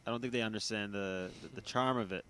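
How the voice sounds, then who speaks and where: A man's voice: fairly low pitch, fast talker, a bit bright, slight background noise. A man giving cricket analysis in casual chat, often repeating words while he thinks.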